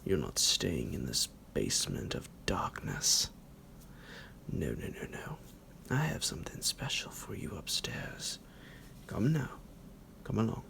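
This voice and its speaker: A man playing a psychotic, possessive vampire doctor in an ASMR roleplay, breathy and gravelly, with a drawn-out, menacing, mock-affectionate delivery. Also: deep voice, huge pitch swings, pushed and bright, heavily acted. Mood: mock-welcoming menace. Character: psychotic male vampire doctor, possessive, sinister, condescending kidnapper. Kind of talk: ASMR roleplay, slow theatrical monologue.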